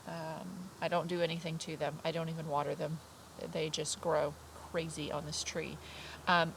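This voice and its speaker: A fairly low-voiced woman talks a class of young children through a lesson from outdoors, her voice a little stuffy from a blocked nose. She encourages the kids, fills pauses with ums and likes, and breaks into simple teaching songs. Crickets and insects chirp clearly behind her. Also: a little hiss or hum, rough recording. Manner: warm, encouraging, with many fillers. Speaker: kindergarten teacher. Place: outdoors in a garden by an orange tree.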